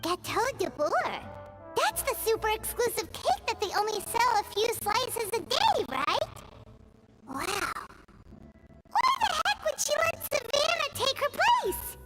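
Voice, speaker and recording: Game casting: very high, squeaky, naive little companion creature, unhurried and swooping, loud music behind. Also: female voice, very animated, exaggerated voice, rough recording. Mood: curious. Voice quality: squeaky, childlike. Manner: exclamatory, refers to self in third person. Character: tiny childlike female companion creature, excitable and naive. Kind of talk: game voice acting.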